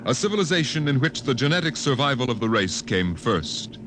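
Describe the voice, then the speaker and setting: A man narrating a 1950s radio science fiction show in a portentous, measured, theatrical announcer style. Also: mid-range voice, big pitch swings, noisy background. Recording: old radio broadcast over loud music. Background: loud music.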